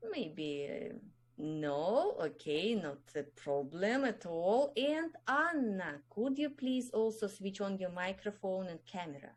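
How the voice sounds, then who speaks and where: A woman speaks as the host of an online meeting, courteous and a little hesitant. She fills her pauses with small sounds. The voice comes through a video call. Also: mid-range voice, animated. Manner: polite, hesitant with fillers. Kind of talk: casual chat.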